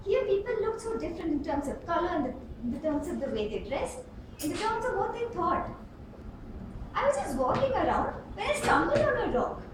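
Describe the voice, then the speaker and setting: A woman giving a rehearsed storytelling speech at a club contest, with an emphatic, theatrical delivery and dramatic pauses. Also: extremely high pitch, big pitch swings. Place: indoor club meeting room. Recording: roomy, distant mic with clear background noise.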